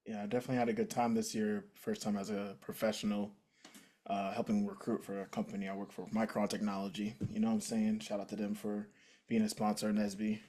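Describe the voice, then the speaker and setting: A man's voice: on the low side, flat delivery, fast talker, warm tone. A man hosting a podcast, playful and jokey in casual chat, laughing easily. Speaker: podcast host.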